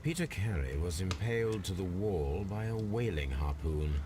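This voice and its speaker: An actor playing a calm, analytical gentleman detective in a video game, musing aloud in measured, precise deductions. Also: low-pitched, noisy background. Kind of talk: video game character voice acting, deliberate deductive monologue.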